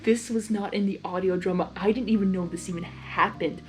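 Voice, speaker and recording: Woman reading a story out loud, chatty and gushy with her own reactions, music playing behind her. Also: medium pitch, fairly quick. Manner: informal, excitable, gushing in asides. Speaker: host reading fan fiction aloud. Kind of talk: storybook narration with casual reaction asides.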